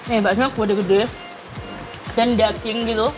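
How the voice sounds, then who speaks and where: A young woman hosts a food show, her voice pitched high as she tastes and chats eagerly with the cook and the viewers. She talks casually in an open restaurant hut. Music plays clearly in the background. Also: fairly even intonation, normal speed. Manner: chatty, enthusiastic, talks to viewers. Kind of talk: casual food review chat.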